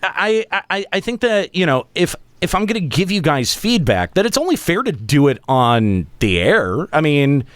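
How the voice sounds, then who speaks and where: A man hosting a casual radio talk show, giving halting, teasing and bossy feedback to his co-hosts. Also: a little high, very animated, fairly bright tone, no echo. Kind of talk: casual on-air critique with co-hosts.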